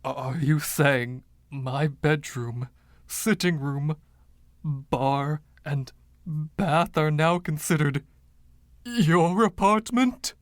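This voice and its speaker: A man's voice: a little high, very slow, dry recording, clean studio sound. A man voicing the haughty, indignant ghost of a rich 1920s dandy, pompous and fussy, with old-fashioned slang, in a story reading. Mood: appalled.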